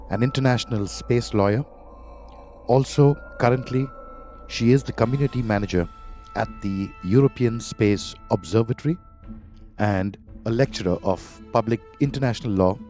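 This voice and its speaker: A male podcast host with a mellow, fairly low voice. He greets his guest enthusiastically and asks long, winding questions. Faint music plays behind him. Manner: enthusiastic, effusive introductions. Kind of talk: podcast interview hosting.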